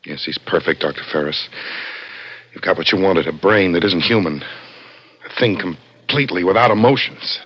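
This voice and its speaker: Low-voiced, uneasy lab assistant played by a man. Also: moderate pace, lightly acted. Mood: bitter. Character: uneasy, resentful male lab assistant to a scientist. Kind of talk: 1960s radio drama acting. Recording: dull, very noisy old radio recording.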